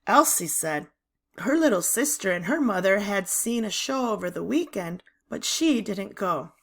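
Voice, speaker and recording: A woman reading a children's novel aloud in expressive, deliberate narration. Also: medium pitch, vocal fry.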